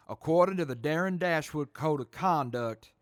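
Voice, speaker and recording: A dutiful mercenary major in a radio drama. He is a man with a fairly high voice who answers slowly and carefully, in short formal phrases. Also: no room sound, clean studio sound. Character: guarded, dutiful male mercenary major on trial. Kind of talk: audio drama acting.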